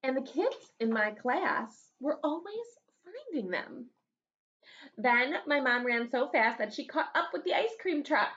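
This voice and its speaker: A woman's voice: high-pitched, fairly bright tone, muffled recording. A woman reading a children's storybook aloud as a schoolteacher, expressively, with asides to her young pupils. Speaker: elementary school teacher.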